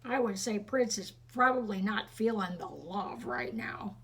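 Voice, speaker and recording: A woman's voice: mid-range voice, lively intonation, measured pace, a bit bright, slightly rough. A woman reading a storybook aloud expressively to children, with chatty, teasing asides to her listeners.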